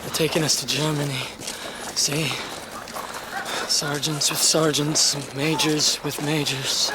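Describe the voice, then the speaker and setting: An actor playing a grim prisoner of war in a radio drama, explaining things deliberately to his comrades. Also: on the high side, little pitch movement. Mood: grim, resigned. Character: American soldier prisoner, grimly explaining to comrades. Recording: noisy outdoor scene with vehicle sounds.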